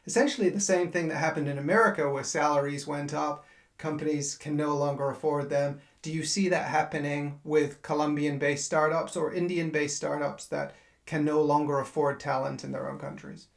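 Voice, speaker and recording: A man's voice: fairly high pitch, fairly even intonation, moderate pace, gravelly. A man hosting a business podcast, asking long, carefully framed questions. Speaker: podcast host.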